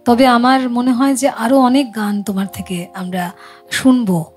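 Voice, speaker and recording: A woman's voice: a little high, steady tone, unhurried. Woman hosting a music chat show.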